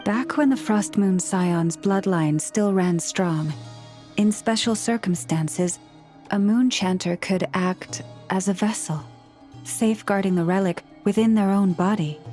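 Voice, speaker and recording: Woman as young moon priestess, mid pitch, soft-spoken, earnest, measured, video game drama. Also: faint music behind, lightly acted. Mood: grave.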